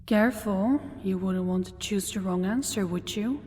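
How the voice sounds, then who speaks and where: A woman playing a commanding, possessive demon lord in an audio roleplay, speaking in a cold, deliberate, imperious manner. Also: medium pitch, dark tone, slight background noise, lightly acted. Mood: possessive, warning. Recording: long hall-like reverb.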